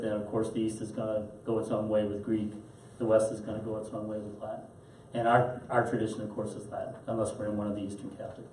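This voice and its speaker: A man teaching a class and answering questions in a thoughtful, halting way. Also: lowish voice, mellow. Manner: thoughtful, halting with fillers and restarts. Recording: roomy echo, mic at a distance, faint hiss.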